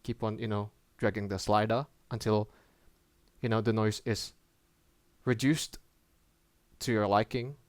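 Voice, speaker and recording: A man presenting a casual app tutorial as a voice-over, with halting, informal and hesitant phrasing. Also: lowish voice, fairly even intonation, dry recording.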